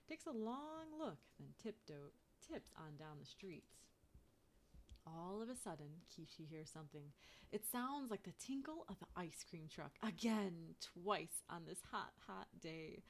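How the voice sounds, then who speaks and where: A woman reading a children's picture book aloud in an expressive way, turning sing-song on chants. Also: medium pitch. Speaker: storybook reader.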